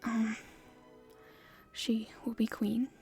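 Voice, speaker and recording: A woman playing an earnest, hopeful young princess in a soft, halting, breathy whisper for an ASMR roleplay. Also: on the high side, completely flat delivery, soft background music, heavily acted. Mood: thoughtful, hopeful. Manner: halting, soft-spoken, thinking aloud.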